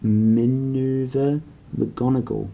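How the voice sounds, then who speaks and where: A man voicing a formal deputy headmistress's official storybook letter, precise and measured. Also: medium pitch, fairly even intonation, gravelly, phone-quality sound, soft background music, pitched above the performer's own voice.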